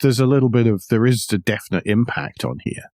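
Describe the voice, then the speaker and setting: A man's voice: mid-range voice, lively intonation, a little fast, a little mellow, no echo, clean studio sound. A man co-hosting a motoring news podcast, moving between read-out items and conversational, wry asides. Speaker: podcast co-host. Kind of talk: conversational car news discussion, partly read out.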